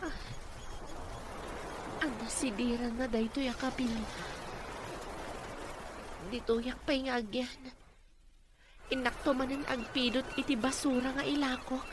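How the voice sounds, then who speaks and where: A woman's voice: sits high, steady tone, measured pace, pauses often. A woman playing a poor, humble orphaned young woman in a sentimental audio drama, earnest and plaintive. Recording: noticeable background noise.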